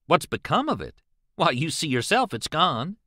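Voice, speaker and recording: Man playing a cocky know-it-all boy, animated. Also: fairly high pitch, moderate pace, dry recording, clean studio sound, pitched above the performer's own voice. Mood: smug, dismissive. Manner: emphatic, lecturing. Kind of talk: audiobook character voice.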